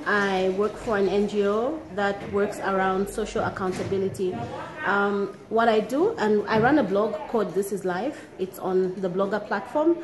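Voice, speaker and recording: A woman from Zambia with a Zambian accent, a blogger and activist telling a personal story in an animated, amused way. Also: mid-range voice, normal speed, a crowd in the background. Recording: echoey room.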